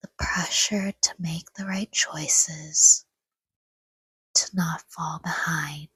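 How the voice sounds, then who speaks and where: A woman softly guiding a sleep meditation in a hushed, breathy whisper, with gentle, soothing delivery in short phrases and long pauses. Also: low voice, steady tone, no room sound. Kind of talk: guided sleep meditation, scripted, soft-spoken.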